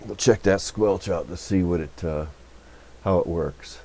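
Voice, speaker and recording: Low-voiced man, folksy and rambling. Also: a little mellow. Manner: folksy, rambling, halting with trailing restarts. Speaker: electronics repair technician and video host. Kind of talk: casual unscripted technical demonstration. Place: radio repair workbench.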